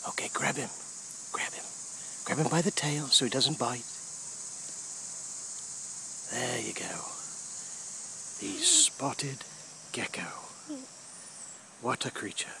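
A man plays nature show host outdoors, drawing his words out slowly and theatrically, at times hushed, often repeating phrases. It is a phone recording with clear insect noise around him. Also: medium pitch, animated. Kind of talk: playful mock wildlife documentary narration. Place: outdoors in a grassy yard by trees. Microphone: handheld phone camera, speaker behind it.

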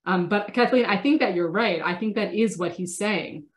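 A woman's voice: medium pitch, normal speed. A woman in her thirties, a rabbi teaching an online class from her home study, talking in a thoughtful, casual way with many restarts, sniffly from a cold. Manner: thoughtful, hesitant restarts, warm engagement with participants. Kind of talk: casual online lecture answering chat questions. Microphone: laptop webcam video call. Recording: roomy webcam audio.

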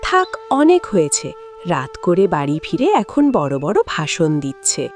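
A woman's voice: sits low, wildly swooping pitch, normal speed, a little mellow, soft background music. A woman playing a vain, lazy, bossy village wife in a cartoon, scolding and whining as she demands things. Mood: exasperated, complaining. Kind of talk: exaggerated cartoon dialogue.

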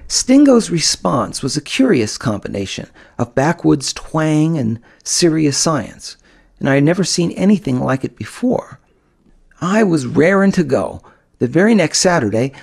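A man reading first-person audiobook narration in an expressive, dramatic storytelling manner. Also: on the high side, creaky.

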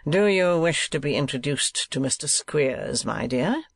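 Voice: mid-range voice, animated, measured pace, gravelly, dry recording. A performer voicing a cold, testy old uncle in a Victorian novel, growling and sneering curtly.

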